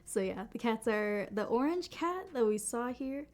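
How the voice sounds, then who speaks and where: A woman's voice: on the high side, expressive, moderate pace, darkish tone. Chatty woman demoing keyboards on a live stream. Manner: chatty, many fillers and restarts. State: sick, voice deeper than usual. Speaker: livestream product host. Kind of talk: casual live-stream product demo. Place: home basement studio.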